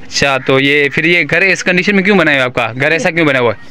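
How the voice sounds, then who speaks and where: A man's voice: on the high side, quick pace, bright, forceful tone. Young male vlogger, phone camera, village walkabout, keeps repeating himself. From Pakistan. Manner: repetitive, pleading, keeps calling out "guys" and "friends". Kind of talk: walking tour vlog with interviews. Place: outdoors in a village among huts. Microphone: handheld phone camera.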